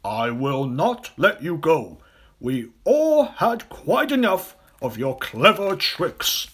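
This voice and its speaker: A man voicing a big, indignant, protective elephant in a storybook, with a booming bellow. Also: sing-song, takes it slowly, fairly bright tone, slightly rough, full character voice. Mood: stern, fed up.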